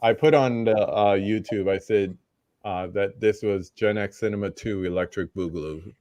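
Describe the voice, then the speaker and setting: A man's voice: lowish voice, moderate pace, no room sound. Laid-back middle-aged male stream host. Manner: laid-back, shouting out chat viewers. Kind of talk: live stream roundtable hosting.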